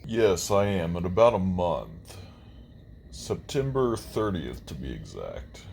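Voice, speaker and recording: A man voicing an undead metal musician, a brooding but polite reaper giving earnest, measured interview answers. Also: deep voice, big pitch swings, gravelly, darkish tone, a little hiss or hum, heavily acted. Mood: candid, brooding. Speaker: talk show guest.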